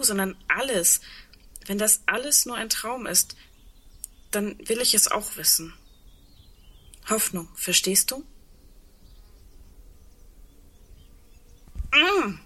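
A woman's voice: medium pitch, lively intonation, slow pace, pauses often, bright, forceful tone, husky, exaggerated voice. A woman acting in a German radio play as an earnest, insistent woman who clings to hope, speaking intimately and pressing with questions. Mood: urgent, pleading.

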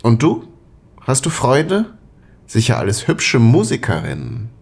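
Tender, hesitant young man, husky voice. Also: mid-range voice, huge pitch swings, measured pace, a little mellow, exaggerated voice. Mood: friendly, curious. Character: shy, dreamy young Soviet man courting a musician. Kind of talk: intimate radio play dialogue.

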